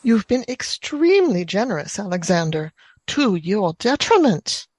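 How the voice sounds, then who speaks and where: An actress playing a planter's elderly wife in a radio play, dry, terse and genteel. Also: female voice, mid-range voice, very animated, measured pace, darkish tone. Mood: wry.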